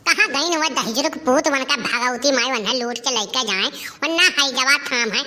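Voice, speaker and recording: An exasperated village mother played by a woman in a dialect comedy cartoon. She has a high, bright voice and an Awadhi accent, and she scolds and complains with a hot temper. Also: moderate pace. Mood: angry. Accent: Awadhi, eastern Uttar Pradesh.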